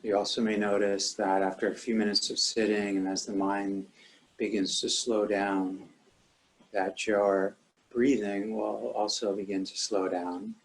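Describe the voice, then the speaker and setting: Male meditation teacher, lower-pitched voice, calm and measured, leaving long pauses between instructions. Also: rough recording.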